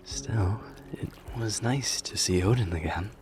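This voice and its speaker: A man performs a brooding wolfish warrior, once a general, in an ASMR fantasy roleplay. The voice is very deep and unhurried, pensive and often sinking into a breathy murmur. Music plays clearly beneath it. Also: lightly acted. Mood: wistful. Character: brooding male wolf warrior, former general, troubled and loyal. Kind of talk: ASMR roleplay, scripted character monologue.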